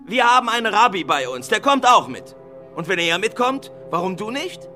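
A man's voice: sits high, sing-song, normal speed, music in the background. An actor in a German radio play playing an officious man who insistently pleads and admonishes. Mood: urgent pleading. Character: insistent man urging and admonishing a reluctant friend.

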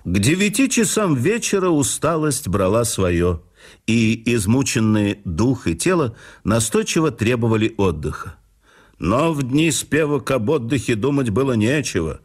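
A man narrating a memoir in a measured storytelling manner for a radio production. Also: mid-range voice. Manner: measured storytelling with lively intonation.

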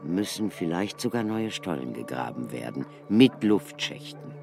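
An actor playing an earnest, cautious male researcher in a German radio play, explaining things in a measured, emphatic way. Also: deep voice, big pitch swings, a little mellow, faint music behind, exaggerated voice. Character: deep-voiced scholarly male ruin researcher, earnest and cautious.

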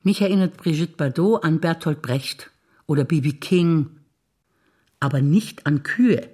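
An older woman performing scripted stage dialogue, wry and teasing in quick exchanges. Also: low-pitched, warm tone, husky. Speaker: stage actor.

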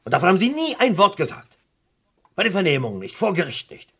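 An actor playing a stern, impatient British police interrogator in a German radio crime play, with a commanding, sarcastic way of questioning. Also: male voice, high-pitched, sing-song, fast talker, pushed and bright, breathy edge, full character voice. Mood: sarcastic disbelief.

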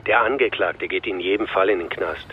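Man acting a low-voiced, terse police commissioner. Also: brisk, phone-quality sound, a little hiss or hum, close to an everyday voice. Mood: calm, commanding. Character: unhurried police commissioner, stubborn and quietly scheming. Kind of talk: German radio crime drama acting.

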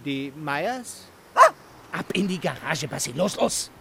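High, sharp, agitated homeowner. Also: male voice, lively intonation, slow, gravelly, a little hiss or hum, full character voice. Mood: brusque, surprised. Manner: barking short commands. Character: gruff, agitated male homeowner shouting at his dog. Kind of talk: radio drama acting.

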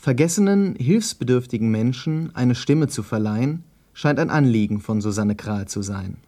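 A man's voice: mid-range voice, expressive, warm tone. Formal man presenting scripted questions. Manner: formal, measured, literary wording. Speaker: interviewer and presenter. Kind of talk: scripted radio feature narration and questions.

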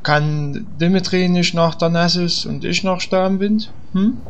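Man acting as naive dim adventurer, slow hesitant speech, slightly high, polite, parody radio play. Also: fairly even intonation. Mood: reluctant. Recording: dull lo-fi sound, faint noise.

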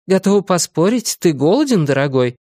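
A man voicing a woman child-protection officer who speaks in a sing-song, breathy way with rehearsed phrasing. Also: high voice, big pitch swings, brisk, dark tone, no echo, studio quality, pitched above the performer's own voice. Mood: cooing.

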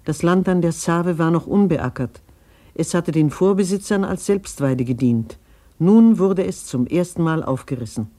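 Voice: medium pitch, normal speed, warm tone, natural voice. An actor as a plain, sober narrator of a farm family's history in a radio drama.

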